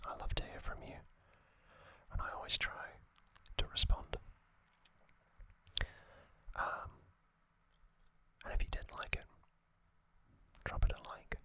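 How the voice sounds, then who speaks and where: A man hosting ASMR, narrating gently in a breathy, unvoiced whisper with frequent pauses. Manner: slow, gentle, pausing delivery. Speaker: ASMR channel host.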